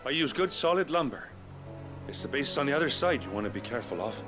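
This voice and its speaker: An actor playing a proud, reassuring working-class carpenter in a video game. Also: male voice, mid-range voice, lively intonation, quick pace, natural voice. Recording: loud music under the dialogue.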